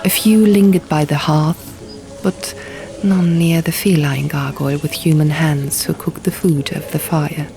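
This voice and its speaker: A woman's voice: deep voice, steady tone, measured pace, warm tone. A woman reading literary fiction aloud as an audiobook narrator, telling the story in the first person, over music. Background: music and sound effects.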